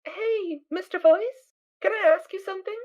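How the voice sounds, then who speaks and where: A woman's voice: very high-pitched, normal speed, a little mellow. A woman voicing a small, naive, cheerful filly pony in a fan audio drama, with a squealing, childlike manner. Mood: eager.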